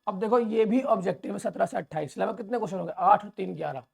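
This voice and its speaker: A man with a sore, hoarse throat, walking students through an exam paper and calling them children. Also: high voice, fast talker. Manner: addresses viewers as children, reassuring. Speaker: teacher. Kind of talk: exam overview lesson.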